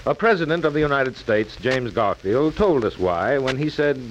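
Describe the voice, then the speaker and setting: A man's voice: mid-range voice, expressive, moderate pace, lightly acted. An actor as an earnest, stately male narrator of a 1940s educational radio drama. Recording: dull, noisy 1943 radio recording.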